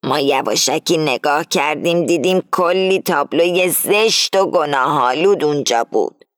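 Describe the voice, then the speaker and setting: A woman reading as an older female gang leader who lays out a heist plan firmly and deliberately. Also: low-pitched, no room sound, clean studio sound, close to an everyday voice. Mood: scheming, instructing. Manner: firm, explaining slowly and deliberately.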